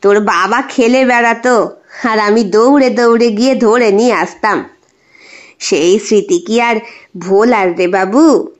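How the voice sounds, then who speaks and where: A woman voicing a nostalgic old grandmother who reminisces slowly and wistfully in an audio story. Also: a little high, natural voice. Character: nostalgic old grandmother recalling her ancestral mansion.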